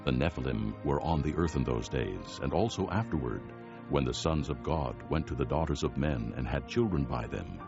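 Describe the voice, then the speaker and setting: A man narrating dramatized scripture as a solemn, measured storyteller. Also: rumbling low, expressive. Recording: dull sound with music underneath. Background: music.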